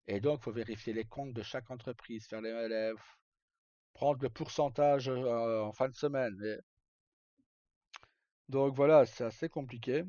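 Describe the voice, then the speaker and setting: A man's voice: mid-range voice, fairly even intonation, measured pace, long pauses, mellow. A man chatting casually to live viewers as a streamer, rambling and hesitant in colloquial speech with some swearing. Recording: dull lo-fi mic in echoey room.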